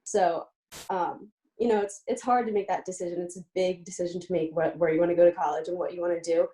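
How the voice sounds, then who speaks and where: Mellow-voiced eager young woman. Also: fairly low pitch, fairly even intonation, quick pace, low-quality recording. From Lebanon, Pennsylvania, USA. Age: young adult. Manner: eager, chatty, with frequent "um" fillers. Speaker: guest, college student-athlete. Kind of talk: casual interview.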